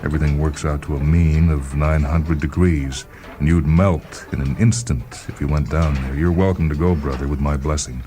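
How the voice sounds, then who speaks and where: Man acting out stocky woman scientist, curt, scornful, quick delivery, dark tone, radio story. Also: rumbling low, steady tone, soft background music.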